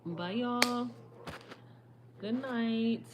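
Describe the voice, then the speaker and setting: A woman, her voice slightly hoarse, chatting casually and rambling to viewers on a livestream. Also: a little high, extremely slow, background noise. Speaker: vlogger.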